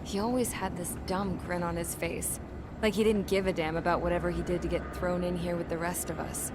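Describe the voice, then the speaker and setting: Woman as wry military mechanic, lowish voice, blunt casual game narration, loud engine-like noise behind. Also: little pitch movement, brisk, close to an everyday voice. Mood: wry, curious. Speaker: story narrator. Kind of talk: scripted conversational cutscene narration.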